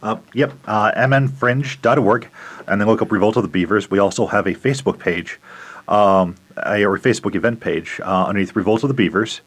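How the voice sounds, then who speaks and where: Low-voiced man giving casual event details. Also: a little fast. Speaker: theater production member, studio guest. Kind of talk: casual spoken announcement.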